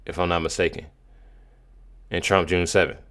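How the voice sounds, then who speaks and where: An American man doing casual political commentary as a video host, in an emphatic, slangy, halting way with many repeats. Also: sits low, flat delivery, a bit bright.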